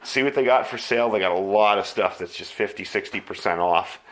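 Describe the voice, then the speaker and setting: A man's voice: fairly low pitch, fairly quick, fairly bright tone. Casual American man demonstrating gadgets. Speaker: radio hobbyist reviewing gear. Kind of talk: casual product review and demonstration.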